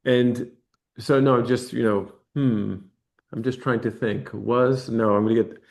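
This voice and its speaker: Male podcast host, mid pitch, loose rambling questions, self-interrupting. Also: steady tone, unhurried, creaky, darkish tone. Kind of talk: interview conversation.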